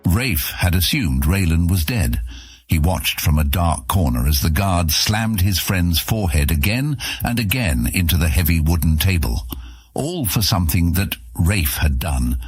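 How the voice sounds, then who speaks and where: A man's voice: sits low, expressive, a little slow. A man narrating a fantasy audio serial as a dramatic, scripted story.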